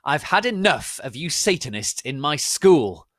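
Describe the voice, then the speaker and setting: A man voicing a furious old wizard headmaster in theatrical, shrill yelling. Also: sing-song, unhurried, no room sound, clean studio sound, full character voice.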